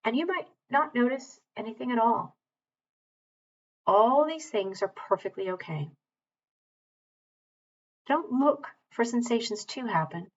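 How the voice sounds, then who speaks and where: A woman teaching mindfulness, leading a guided body scan in a calm, measured, gentle way with long pauses. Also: a little high, dull audio.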